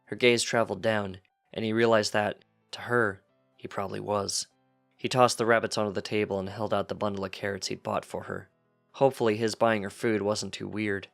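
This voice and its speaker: Low-voiced man narrating a story. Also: flat delivery, fairly quick, vocal fry, a bit bright, soft background music. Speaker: fanfiction reader.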